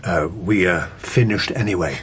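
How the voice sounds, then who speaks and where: Actor as a lowish-voiced, reserved clinic attendant, male. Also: moderate pace, heavy background noise, close to an everyday voice. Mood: polite, subdued. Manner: polite, subdued, matter-of-fact. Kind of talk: radio play acting, soft procedural talk.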